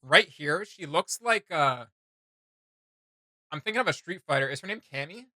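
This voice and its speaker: A young man hosting a reaction video, chatting casually in an animated, rambling, slangy way. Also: on the high side, normal speed, no room sound, clean studio sound. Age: young adult. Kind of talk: casual enthusiastic reaction chat. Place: small indoor room with desk and shelves.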